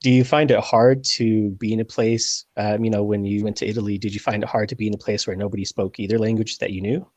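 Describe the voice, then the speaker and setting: A man's voice: on the low side, fairly even intonation, fast, hardly any pauses, darkish tone, no echo. A man hosting a podcast, asking long, rambling questions in a friendly, casual way. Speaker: podcast host. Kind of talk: casual interview.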